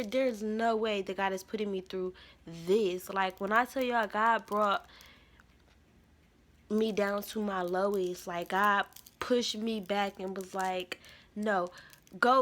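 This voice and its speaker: Bright-voiced young woman telling her story. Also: mid-range voice, steady tone, a little slow. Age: 20s. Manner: emphatic, repetitive, slangy storytelling. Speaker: spiritual vlogger. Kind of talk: casual spiritual testimony.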